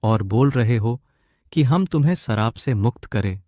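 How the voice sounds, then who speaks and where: A man's voice: medium pitch, lively intonation, measured pace, dark tone, no echo, studio quality, lightly acted. A man voicing a brave, curious young boy in a cartoon story, speaking in a bold and reassuring way.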